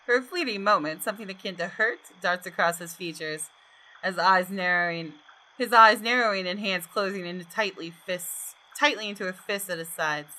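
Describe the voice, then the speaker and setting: A woman's voice: mid-range voice, normal speed, sharp tone, low-quality recording. A woman hosting a gaming video, reading the story narration aloud expressively with casual asides, close to the microphone.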